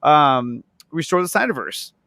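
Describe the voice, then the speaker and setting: A man's voice: on the high side, very animated, a little slow, vocal fry, bright, forceful tone, no room sound, clean studio sound. A man chatting casually and enthusiastically, gushing and often repeating words as he searches for the next one.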